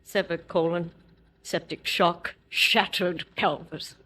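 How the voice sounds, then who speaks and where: A woman's voice: fairly low pitch, fairly even intonation, slow pace, sharp tone, exaggerated voice. An actress playing a tough, wounded female resistance leader who talks bluntly and sardonically in a video game.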